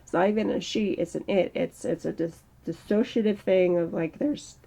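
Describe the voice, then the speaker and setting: A woman in her early thirties talking slowly and reflectively in a personal vlog, with a strained throat. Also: lowish voice, fairly even intonation, gravelly, warm tone, slightly rough, low-quality recording. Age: early 30s. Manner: slow, halting, reflective. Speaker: channel host. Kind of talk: personal mental health vlog monologue.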